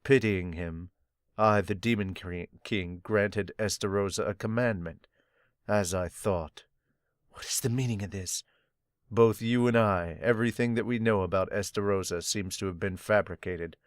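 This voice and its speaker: A man voicing an ancient, grim male demon king who speaks in a slow, grave, commanding way. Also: fairly low pitch, clean tone, no room sound, clean studio sound, close to an everyday voice. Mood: brooding.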